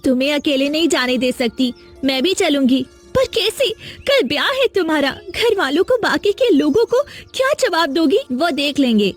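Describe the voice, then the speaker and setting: A woman's voice: high voice, animated, normal speed, soft background music. A woman voicing an anxious, determined young village woman in a cartoon, earnest and dramatic.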